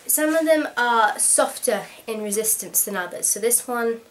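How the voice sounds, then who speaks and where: A woman's voice: fairly high pitch, expressive, moderate pace, a little hiss or hum, low-quality recording. A young woman with a slight cold, frankly and practically explaining a product in a casual home vlog, with plenty of ums. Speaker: vlogger.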